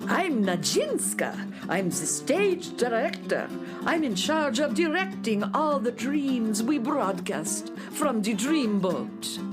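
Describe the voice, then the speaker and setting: A woman's voice: fairly high pitch, very animated, slow, music in the background. A woman playing a grand, self-important theatrical stage director in a children's radio play. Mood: imperious.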